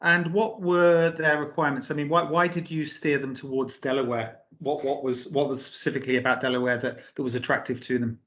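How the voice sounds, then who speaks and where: A man's voice: mid-range voice, level tone, a little fast, few pauses, a bit bright, dull audio. Polite man hosting a business interview. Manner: polite, formal, prompting questions. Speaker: interview host, company director.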